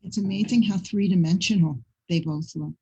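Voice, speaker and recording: Slightly husky woman hosting an art session. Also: fairly low pitch, a little fast, dark tone, highs cut off. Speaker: host of a children's art session. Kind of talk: instructional presenting to children, parents and teachers.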